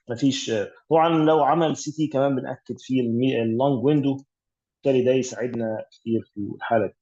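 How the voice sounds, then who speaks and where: A man's voice: medium pitch, mellow, breathy edge, highs cut off. An Egyptian man tutoring radiology cases over an online call, explaining step by step with many fillers and English medical terms. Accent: Egyptian Arabic. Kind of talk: online exam revision teaching.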